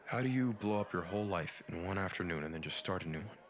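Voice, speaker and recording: A man's voice: low-pitched, animated, fast. A man playing a weary, unsettled married newspaperman and father in a comic dub, speaking hesitantly. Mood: troubled, hesitant. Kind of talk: dramatic comic dub acting.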